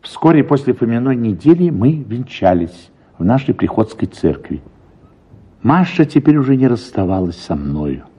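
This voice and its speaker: A man reading a first-person literary story for radio in an expressive, reflective manner, with drawn-out words and pauses. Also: mid-range voice, husky. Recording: slightly dull radio sound, music behind. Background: soft music.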